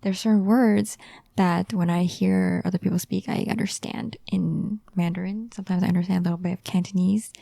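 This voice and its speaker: A young woman co-hosting a casual podcast chat, talking softly and often dropping to a near whisper. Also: lowish voice, steady tone, brisk, creaky, no room sound, studio quality. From family of Chinese ancestry raised in India. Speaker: podcast co-host.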